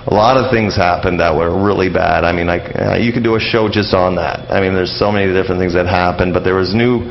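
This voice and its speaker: A deep-voiced man talks casually as a radio interview guest. He rambles, halting often and doubling back on words. The recording is dull and lo-fi, with noise in the background. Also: steady tone, gravelly.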